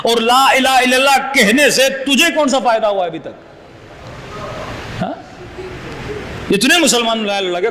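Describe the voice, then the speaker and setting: A man preaches with passion in a high, bright voice. He fires off rhetorical questions and acts out both sides of a quarrel. His voice rings in a long hall reverb over faint noise. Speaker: Shia cleric. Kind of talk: sermon.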